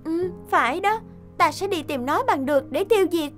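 A woman voicing a plucky, pleading young fairy-tale princess in an animated cartoon, eager and insistent. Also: very high-pitched, normal speed. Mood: determined. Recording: music behind the voice.

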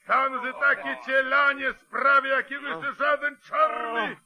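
Brutal shouting mob man, very high. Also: level tone, a little slow, lo-fi sound, crowd noise. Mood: vicious rage. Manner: shouting, menacing. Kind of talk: 1940s Polish radio drama acting.

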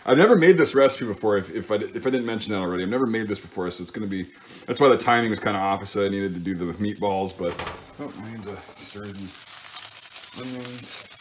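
A man's voice: on the low side, fast talker. A man giving casual, informal cooking commentary in a home kitchen, thinking aloud and correcting himself as he works. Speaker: home cook hosting a cooking show. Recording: clear frying sizzle and kitchen noise. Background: sizzling pan and stirring sounds.

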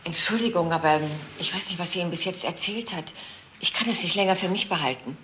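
An actress playing a blunt, brisk hospital worker in a radio crime drama, speaking in a hurried way. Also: female voice, lowish voice, lightly acted. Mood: urgent. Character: brisk, blunt female hospital colleague informing on someone. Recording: faint background noise.

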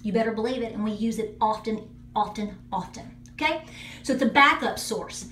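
A chatty woman with a fairly high voice talks straight to her viewers, emphatic and friendly. She is recorded on a handheld phone in a small bathroom that rings with echo. A faint hiss sits under her voice. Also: brisk, a bit bright. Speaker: homesteading channel host. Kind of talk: casual product review vlog.

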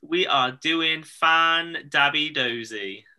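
Male host, 30s, bright forward tone, chatty and loose, video-call audio. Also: fairly high pitch, takes it slowly, clean tone. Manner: chatty, laughs often, rambling restarts. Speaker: podcast host. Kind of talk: casual video-call chat. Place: home room, indoors.

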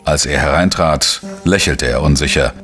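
An actor playing a dry, probing investigator who narrates a crime radio drama in a measured way. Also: male voice, very deep voice, loud music behind the voice. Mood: measured narrating. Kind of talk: scripted first-person crime narration and dialogue.

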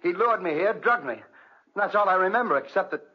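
A man's voice: high-pitched, animated, fast talker. An actor playing a desperate, insistent young man in 1940s radio drama style, on a muffled, noisy old recording. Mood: weary, pleading.

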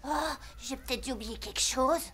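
A woman's voice: sits high, moderate pace, very noisy, close to an everyday voice. A woman voicing a geeky, scheming little schoolboy sidekick in a French cartoon, eager and confiding. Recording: music behind the voice.

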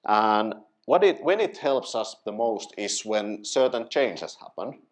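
A man's voice: on the low side, animated, a little slow. A man in his thirties from Finland giving a conference talk, explaining with fillers and restarts, gesturing as he speaks into a headset mic in a small event room. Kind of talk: tech meetup talk.

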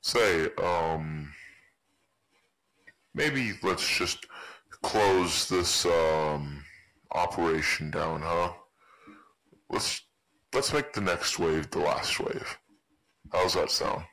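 A man's voice: sits low, drawn-out words, long pauses, bright, forceful tone, exaggerated voice, rough recording. A man voicing an awkward, dismissive mad doctor who rambles hesitantly, in amateur game character lines. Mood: friendly, wistful.

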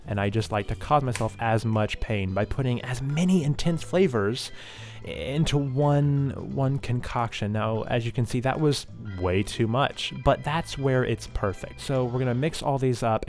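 A young man with a medium-pitched voice plays cooking host in his own home kitchen. He delivers instructions with mock confidence and a tongue-in-cheek edge, often doubling back on his words. Music can play behind him. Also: a little slow. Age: young adult. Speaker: host of a cooking parody vlog. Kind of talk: mock cooking tutorial narration. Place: home kitchen and dining area, indoors.

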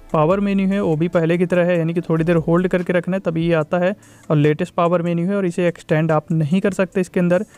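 A man's voice: sits high, a little mellow, clear, resonant tone. A man giving a casual, repetitive tech review voice-over, mixing in English tech terms.

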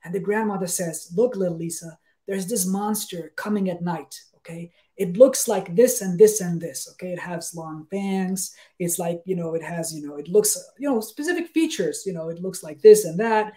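A man in his thirties with a soft-edged, mellow voice. He explains as a guest researcher on a video call, talking conversationally in emphatic, halting phrases and checking in as he goes. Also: sits high. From Denmark. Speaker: guest expert, sleep researcher. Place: small room with bookshelves, on a video call.

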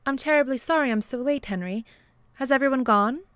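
An actress playing a kindly, long-suffering bishop's wife in earnest, gentle period drama style. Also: female voice, high-pitched, a little fast. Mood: concerned. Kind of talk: period radio-drama acting.

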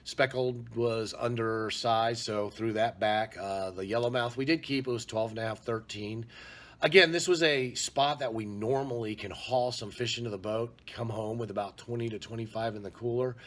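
A man in his forties giving a relaxed, casual vlog recap from a small room at home, speaking into a webcam. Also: mid-range voice, normal speed. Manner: easygoing, relaxed talk with fillers. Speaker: vlogger. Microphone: webcam at arm's length.

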